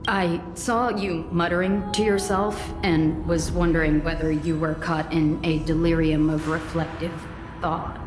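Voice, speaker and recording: A woman's voice: low voice, level tone, a little slow. A woman playing a polite, dutiful messenger in a video game, formal, courteous and precise. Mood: courteous, urgent. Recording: echoey hall with music behind.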